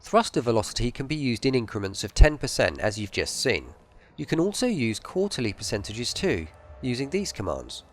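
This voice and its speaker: A man's voice: medium pitch, big pitch swings, fairly quick. A man narrating a scripted game tutorial in a methodical, explanatory way. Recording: faint music behind the voice. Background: faint music.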